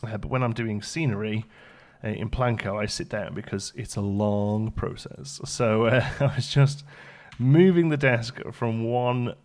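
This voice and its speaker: A man's voice: fairly low pitch, measured pace, hardly any pauses, a little mellow. A man hosting a let's play, chatting casually and thinking aloud as the game goes along. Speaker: gaming video host.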